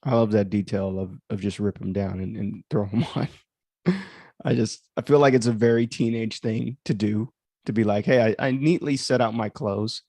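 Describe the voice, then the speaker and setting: A man's voice: fairly low pitch, steady tone, normal speed, a little mellow, no echo, studio quality. A man hosting a livestream in casual, rambling chat, commenting on his own audio drama and easily amused.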